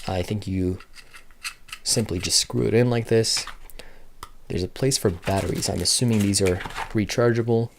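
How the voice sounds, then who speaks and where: Low-voiced man casually demonstrating a product. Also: steady tone, normal speed, background noise. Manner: matter-of-fact, thinking aloud. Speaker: product reviewer.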